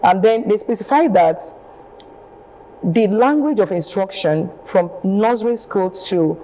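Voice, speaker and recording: A woman from eastern Nigeria, explaining her views in a measured way as a TV panel guest. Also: mid-range voice, animated, warm tone, rough recording. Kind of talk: TV panel discussion.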